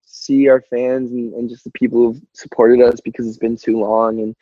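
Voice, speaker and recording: Young man, husky, medium pitch, casual rambling chat, musician interviewee, muffled lo-fi recording. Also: little pitch movement, normal speed, mellow, no room sound. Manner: rambling, peppered with "like" and "you know". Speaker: band musician guest.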